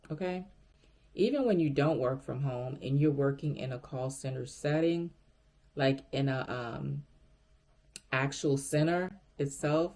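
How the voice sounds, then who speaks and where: A man's voice: medium pitch, a little mellow. A man telling a story casually as a vlogger, speaking straight to viewers and acting out the dialogue as they go. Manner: conversational, addresses viewers directly, acts out quoted dialogue. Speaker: vlogger recounting a work story.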